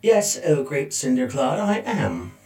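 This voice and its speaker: A man's voice: medium pitch, sing-song, takes it slowly, a little mellow, reverberant, soft background music, voice pushed up. Man doing a stiff, prim robot nanny drone. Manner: stiff, formal. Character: female robot caretaker drone, prim and protective.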